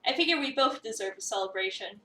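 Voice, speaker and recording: A woman's voice: medium pitch, lively intonation, fast talker, sharp tone, distant mic, a little hiss or hum. A woman streamer expressively reading a visual novel aloud, acting out lines and chatting casually in between. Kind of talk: dramatic reading of a game script with casual chat asides. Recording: roomy echo, away from the mic.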